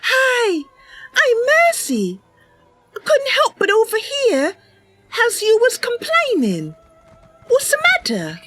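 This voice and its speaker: Lady doing a cheery lil wood elf girl, super high and chirpy, curious game character, music behind. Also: wildly swooping pitch, slow, long pauses, heavily acted. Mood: curious, friendly. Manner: bright, chirpy, polite, rustic grammar. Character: Mercy, cheerful, curious young female wood elf adventurer.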